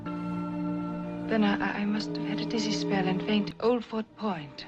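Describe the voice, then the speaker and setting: Poised, enigmatic wife, 1950s film drama actress: refined, formal, medium pitch, dull soundtrack under loud score. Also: female voice, lively intonation, a little slow, loud music behind the voice, close to an everyday voice. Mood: composed.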